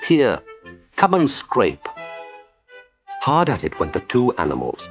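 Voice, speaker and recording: A man's voice: low voice, dull audio. A man reading a scripted children's story as a storyteller.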